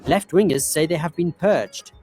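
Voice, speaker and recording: A man reading scripted explainer narration in a crisp, measured way. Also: a little high, darkish tone, faint music behind. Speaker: documentary narrator.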